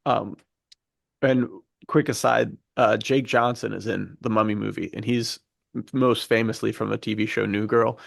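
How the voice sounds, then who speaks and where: A man's voice: on the low side, steady tone, moderate pace, gravelly, no echo, clean studio sound. A man hosting a podcast, talking about films in a casual, enthusiastic, slangy way. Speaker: podcast host. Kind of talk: casual film discussion.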